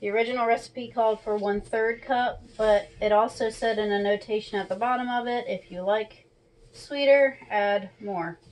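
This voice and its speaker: A woman's voice: mid-range voice, fairly even intonation, moderate pace, rough recording. A woman in her thirties giving a casual, chatty cooking tutorial at home, talking through each step. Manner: chatty, instructional. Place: small home kitchen, indoors. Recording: clear background noise and clicks.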